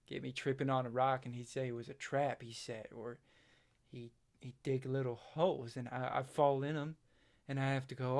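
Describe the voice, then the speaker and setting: A man performs a self-doubting country farm boy in a stage drama. He speaks haltingly and plainly to a friend, like someone haunted and unsure of himself. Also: mid-range voice, lightly acted. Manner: halting, hesitant, plain-spoken. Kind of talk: stage play acting.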